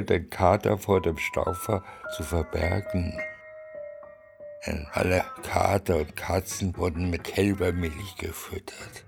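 A man reading a literary story aloud in a measured, deliberate manner. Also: low voice, creaky, darkish tone. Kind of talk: literary reading, scripted narration. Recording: music behind the reading.

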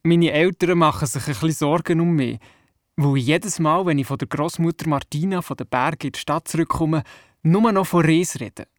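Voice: mid-range voice, normal speed, no room sound, clean studio sound, close to an everyday voice. An actor playing a curious, imaginative young boy with a Swiss German accent, telling his story in a children's radio play. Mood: eager, proud.

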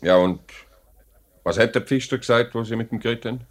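A man's voice: medium pitch, lightly acted. A man playing a formal, authoritative factory director with a Swiss German accent in a 1950s radio play. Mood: businesslike. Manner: measured, formal, noncommittal. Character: measured, authoritative male factory director, businesslike.

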